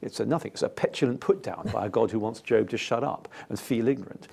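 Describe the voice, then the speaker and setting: A British man in his fifties explaining as an interview guest, enthusiastic and emphatic, with halting phrasing. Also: on the low side, creaky, a little mellow, husky. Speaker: interview guest, scientist and author. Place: indoor TV-style studio.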